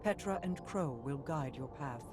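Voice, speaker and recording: A woman playing a regal, solemn queen in a video game, speaking in a formal, measured, commanding manner. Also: low-pitched, animated. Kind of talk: scripted video game dialogue and narration. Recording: loud music behind. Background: loud game music.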